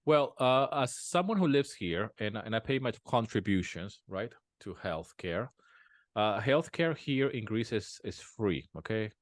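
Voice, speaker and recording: A man's voice: fairly low pitch, big pitch swings, measured pace, dry recording, studio quality. A man as an interview guest, talking casually at home with many restarts and fillers. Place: home in a small town.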